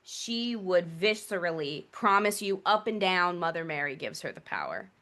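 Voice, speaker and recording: A woman's voice: lowish voice, sing-song, normal speed, fairly bright tone. A Greek-American woman guest on a podcast, explaining earnestly in conversation and sometimes mimicking others' voices. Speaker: guest, priestess teacher. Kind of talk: conversational interview.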